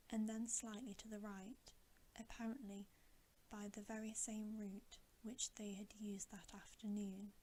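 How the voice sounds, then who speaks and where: A woman whispering a storybook narration in a hushed, gentle ASMR style. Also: medium pitch, slight background noise, poor audio. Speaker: ASMR channel host reading a bedtime story.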